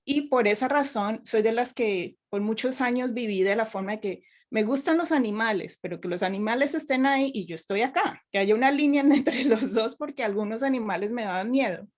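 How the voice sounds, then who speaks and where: A woman's voice: fairly high pitch, a little fast, hardly any pauses. A woman raised in Colombia, a youth minister, giving earnest advice and telling personal stories in a panel discussion.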